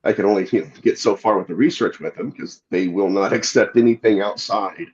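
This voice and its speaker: A man's voice: fairly low pitch, a little fast, muffled recording. A man appearing as a guest demonologist, explaining his views earnestly and thoughtfully. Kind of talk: casual interview talk.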